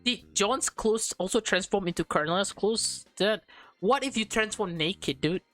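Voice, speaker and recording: Woman, mid-to-low female pitch, casual gaming host, playful reactions, reads aloud, occasional stumbles, music behind. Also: a little slow, a bit bright. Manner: informal, playful reacting, stumbles while reading. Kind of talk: casual playthrough commentary, reading dialogue aloud. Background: background music.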